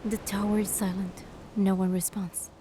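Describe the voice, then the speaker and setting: A woman's voice: medium pitch, flat delivery, moderate pace, dark tone, a little hiss or hum, natural voice. A woman voicing a proud goddess in a game, delivering dramatic, solemn scripted narration. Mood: pained. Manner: solemn, storytelling. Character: wounded goddess narrating her suffering with pride.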